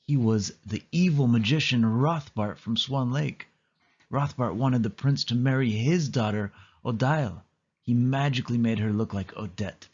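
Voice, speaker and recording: A man's voice: on the low side, darkish tone, husky, dull audio. A man reading a scripted children's story aloud as a read-along narrator.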